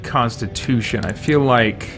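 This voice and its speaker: A man's voice: medium pitch, measured pace. A man giving casual video game commentary as a let's play host, hesitantly thinking aloud. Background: game music.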